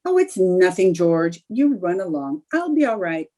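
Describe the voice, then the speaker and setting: A woman's voice: on the low side, very animated, moderate pace, dark tone. Woman playing a sly, swooping, scatterbrained housewife. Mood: evasive, reassuring. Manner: theatrical, feigned innocence. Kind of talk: old-time radio comedy acting.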